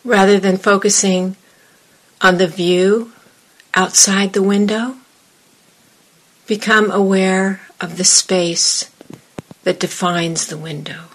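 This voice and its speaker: A woman meditation teacher leading a guided meditation, giving measured instructions in short phrases with long pauses. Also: mid-range voice, fairly even intonation, poor audio.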